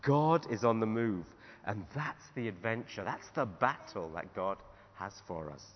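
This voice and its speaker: A man, a pastor preaching a sermon in a croaky voice, often restarting his sentences. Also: fairly low pitch, animated, a little slow, a little hiss or hum. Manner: rambling with restarts. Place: church hall. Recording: dull sound in a reverberant hall.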